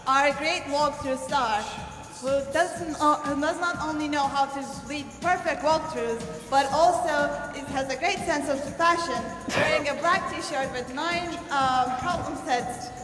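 Woman, playful emcee, high voice, echoey hall, music underneath. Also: unhurried, fairly bright tone. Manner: playful, introduces people one by one. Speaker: emcee of a fashion show. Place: lecture hall stage.

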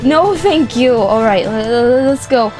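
A young woman giving excited, playful gameplay commentary, animated and exclamatory, often repeating words for effect. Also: a little high, unhurried. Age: young adult. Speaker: gaming video host. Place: small indoor room set up for streaming. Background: background music.

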